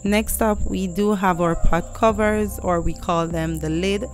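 A woman's voice: on the low side, steady tone, measured pace, soft background music. A young woman vlogging from her home kitchen on a lapel mic, chatty and upbeat as she unboxes cookware and talks straight to her viewers. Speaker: lifestyle vlogger. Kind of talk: casual product unboxing. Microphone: clip-on lapel mic.

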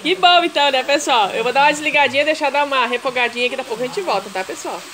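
A woman's voice: sits high, racing. A woman chatting casually as a co-host, with short, agreeable, appreciative reactions. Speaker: co-host filming the cook. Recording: loud frying sizzle and stirring noise.

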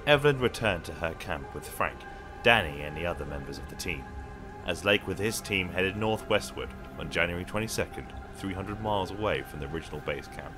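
A man's voice: low-pitched, animated, brisk, over music. A man playing a solemn storyteller, reading scripted narration for an audio drama. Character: solemn male storyteller narrating a polar expedition.

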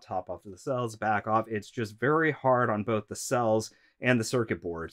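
A man's voice: lowish voice, a bit bright, no echo, studio quality. Congested man explaining vacuum care. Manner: practical, instructive, conversational. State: congested from allergies. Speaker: vacuum shop host. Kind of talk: product maintenance tutorial. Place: shop workroom full of vacuums.

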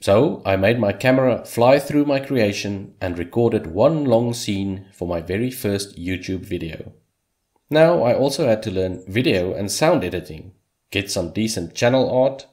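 A man whose first language is Afrikaans, narrating a scripted look back on a year of hobby game development with measured delivery and dry humor. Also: on the low side, expressive, a little mellow.

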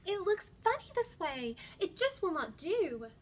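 A prim storybook hippo lady played by a woman reading aloud speaks in a very high voice. She is fussy and fashion-conscious. The room recording has some noise. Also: sing-song, measured pace, heavily acted. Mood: fussy, determined.

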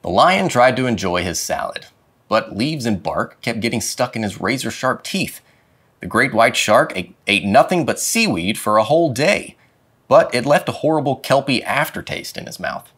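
A man reading a children's picture book aloud with dramatic, expressive phrasing. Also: medium pitch, moderate pace, breathy edge. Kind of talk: expressive storybook read-aloud. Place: indoor studio with plain dark backdrop.

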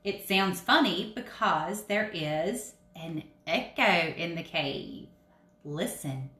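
A woman's voice: on the low side, lively intonation, slow pace, a bit bright, roomy sound, pitched below the performer's own voice. A woman voicing a confident, know-it-all father bear who leads scouts in a storybook, hearty, instructive and encouraging.

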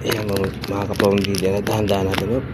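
A man's voice: on the low side, little pitch movement, a little fast, heavy background noise. A middle-aged Filipino man chatting casually through an unboxing vlog, repeating short phrases. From Philippines. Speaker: barber vlogger.

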